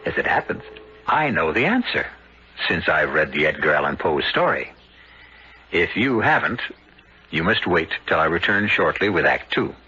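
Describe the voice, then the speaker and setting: An actor playing an urbane, wry mystery storyteller, hosting a 1970s radio drama in a teasing, deliberate, theatrical way. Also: male voice, deep voice, very animated, bright, forceful tone, heavily acted. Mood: teasing, suspenseful. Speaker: host narrator of a radio mystery series. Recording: dull, noisy old radio broadcast. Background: music.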